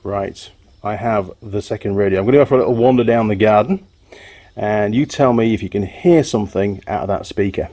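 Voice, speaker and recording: A man's voice: on the low side, fairly quick, dark tone. A man talking casually to viewers as he works with his hands, thinking aloud like a mechanic hosting a workshop vlog. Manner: chatty, informal, talking to the viewer while working. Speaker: motorbike mechanic and video host.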